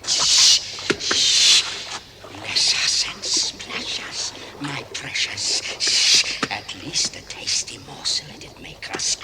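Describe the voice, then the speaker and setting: A man's voice: medium pitch, close to an everyday voice. Small, hungry, wheedling cave monster for radio play, played by a man: sibilant whispers, self-muttering, long reverb, noisy old recording. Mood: menacing, hungry. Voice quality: hissing, whispery. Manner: talks to himself, drawn-out, sibilant. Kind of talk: vintage radio drama acting.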